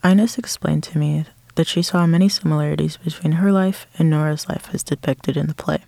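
A man's voice: fairly high pitch, fairly even intonation, fairly quick, gravelly, mellow, no echo. A man from Nigeria reading a scripted college news story, moving into a reflective first-person account. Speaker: student reporter.

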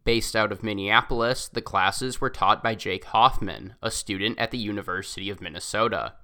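Bright-voiced male radio reporter. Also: fairly low pitch. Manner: measured, formal reading. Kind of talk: scripted narration.

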